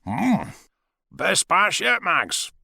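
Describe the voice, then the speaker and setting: A man's voice: high-pitched, huge pitch swings, drawn-out words, vocal fry, bright, forceful tone, no echo, studio quality, pitched above the performer's own voice, exaggerated voice. A man voicing a jovial, hearty middle-aged husband who is proud of his wife, in an audiobook.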